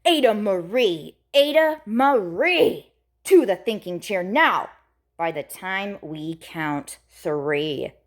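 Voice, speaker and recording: A woman's voice: medium pitch, lively intonation, takes it slowly. A woman voicing the exasperated parents of a small child in a storybook, stern and emphatic as they scold.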